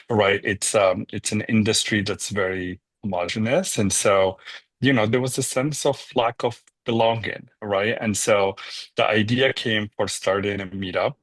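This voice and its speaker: Man from Morocco, low voice, dry studio sound, reflective, pauses with um and right. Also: fairly bright tone, no room sound. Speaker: founder of a nonprofit. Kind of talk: conversational interview answers.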